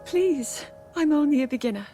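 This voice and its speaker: High-voiced, earnest young princess. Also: female voice, animated, normal speed, mellow, faint music behind. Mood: modest. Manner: hesitant, polite, earnest. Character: modest, soft-hearted, earnest young princess. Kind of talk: video game cutscene acting.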